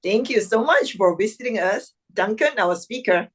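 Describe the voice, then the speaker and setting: A woman's voice: mid-range voice, expressive, moderate pace, slightly rough. A woman hosting an online club meeting over a video call, introducing guests in an upbeat, exclamatory way.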